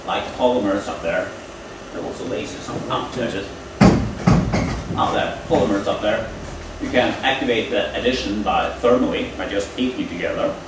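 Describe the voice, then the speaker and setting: A man with a deep, low voice talks through a technical lecture in a halting, explanatory way. He is picked up from a distance in a very echoey university room. A steady air-conditioning hum runs underneath. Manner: halting, explanatory, with frequent pauses. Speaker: lecturer at a training event.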